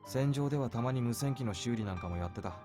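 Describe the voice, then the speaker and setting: A man voicing a laconic young soldier in a Japanese visual novel, curt, cool and deadpan. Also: medium pitch, racing, over music, close to an everyday voice. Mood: calm, helpful.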